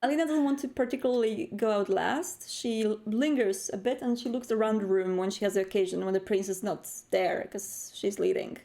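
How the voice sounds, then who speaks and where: A woman's voice: mid-range voice, a little fast, vocal fry, hardly any pauses, warm tone. A woman playing a tabletop roleplay game, casually narrating what her character does.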